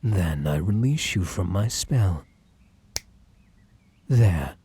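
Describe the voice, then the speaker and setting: A man with a British accent playing a possessive, obsessive, sweetly menacing bunny man in an ASMR roleplay, coaxing and theatrical, with a breathy voice that slips into whispers. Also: low voice, lively intonation, warm tone, no room sound, full character voice. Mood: soothing, pleading. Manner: theatrical, coaxing, drawn-out polite endearments.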